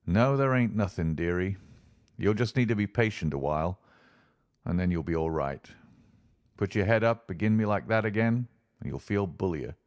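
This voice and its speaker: Narrator voicing a worn-out frontiersman, low rough drawl, soft and patient. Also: lively intonation, no room sound. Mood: gentle. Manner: rough frontier dialect, tender and slow. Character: weathered frontier man, gentle and resigned.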